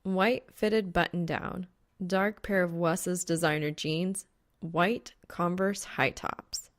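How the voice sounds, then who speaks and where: A woman's voice: lowish voice, steady tone, slow pace. A woman reading scripted fan fiction aloud as an audiobook narrator.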